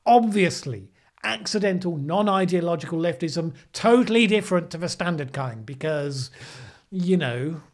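A man's voice: on the high side, expressive, moderate pace. A middle-aged man hosting a commentary show, speaking to the camera in a sardonic way. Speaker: host of a weekly news commentary show. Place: small indoor room, plain backdrop. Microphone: microphone on a desk boom arm.

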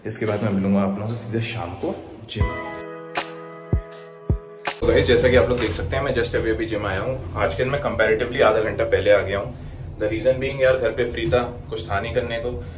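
A man's voice: lowish voice, fairly even intonation, normal speed, music in the background. A man, talking casually to camera as a fitness vlogger and calling viewers brother and friend. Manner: chatty, friendly, sprinkles English phrases, calls viewers bhai and yaar. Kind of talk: casual vlog chat to camera. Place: indoor rooms, a gym and a bedroom. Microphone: handheld phone selfie and fixed camera. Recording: roomy echo, faint ambient noise.

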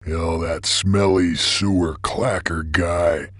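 Gruff game bounty hunter creature: rock-bottom pitch, husky gravel, Western American cowboy drawl, terse and folksy, close dry sound. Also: male voice, steady tone, slow pace, no echo. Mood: gruff, determined. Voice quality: gravelly, raspy. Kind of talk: video game voice acting.

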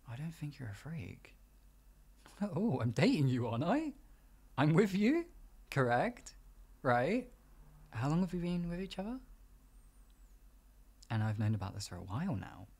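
A man acts out a doting boyfriend in an intimate ASMR roleplay. His voice is gravelly and husky at a medium pitch. He speaks softly and coaxingly, like a partner offering comfort and reassurance. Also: big pitch swings, normal speed, long pauses, exaggerated voice. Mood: earnest reassurance. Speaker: voice actor in audio roleplay.

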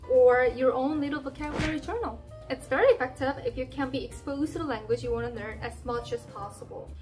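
A young Korean woman in her twenties with a Korean accent, cheerfully teaching language tips straight to the camera. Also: high-pitched. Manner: cheerful and playful, with emphatic exclamations. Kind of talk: upbeat direct-to-camera lesson. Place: indoor room by a plain wall.

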